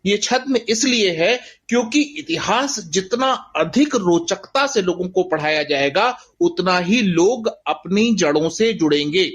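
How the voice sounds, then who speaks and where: A man's voice: high voice, lively intonation, measured pace, hardly any pauses, a bit bright, husky. A man from India speaking as a guest novelist, explaining at length in a formal, articulate storytelling way.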